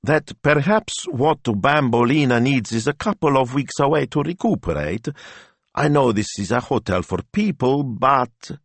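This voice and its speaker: A man's voice: mid-range voice, lively intonation, measured pace, no echo, voice pushed up. A man voicing a fretful male dog owner who pleads anxiously for help. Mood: pleading. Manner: anxious, pleading, hand-wringing.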